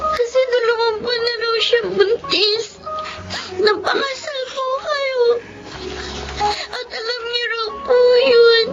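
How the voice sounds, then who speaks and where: A woman's voice: very high-pitched, fairly even intonation, muffled recording. Tiny-voiced tearful Filipino girl. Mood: crying, grieving. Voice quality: thin, childlike voice. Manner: pleading, respectful, halting. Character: tearful young girl devoted to her father. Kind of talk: Filipino radio drama acting. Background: dramatic music.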